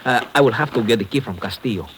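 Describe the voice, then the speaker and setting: An actor playing a deferential, puzzled Mexican sidekick with a Spanish-flavoured accent, in a 1950s radio drama. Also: male voice, medium pitch, fast, a bit bright, close to an everyday voice. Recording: noisy old radio recording.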